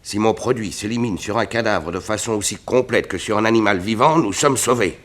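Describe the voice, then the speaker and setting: An actor playing a shrewd, self-serving scientist and secret doper in a 1960s French radio drama, articulate, emphatic and self-possessed. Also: male voice, fairly low pitch, brisk, fairly bright tone, lightly acted. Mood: calculating. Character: shrewd, self-serving male scientist who secretly makes horse dope.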